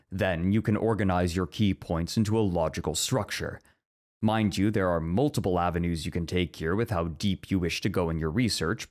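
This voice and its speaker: A man acting in an ASMR roleplay as a strict, orderly academic teacher who is easily flustered, speaking in formal, measured lectures. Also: sits low, darkish tone, close to an everyday voice.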